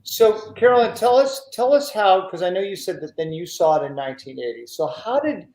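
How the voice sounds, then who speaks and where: A man's voice: sits high, expressive, fairly quick, darkish tone. Echoey, effusive male interview host. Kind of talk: conversational interviewing. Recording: echoey room sound.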